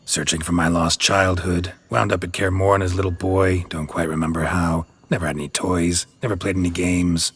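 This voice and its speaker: A man playing a gruff, world-weary monster hunter in a video game, gravelly, terse and deadpan. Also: low-pitched, brisk, few pauses. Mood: dry, matter-of-fact. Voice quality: gravelly, husky. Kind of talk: video game character acting.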